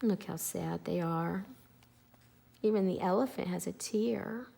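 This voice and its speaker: An older woman reading a children's story aloud as an expressive storyteller. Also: fairly low pitch, a little slow, creaky, a little hiss or hum.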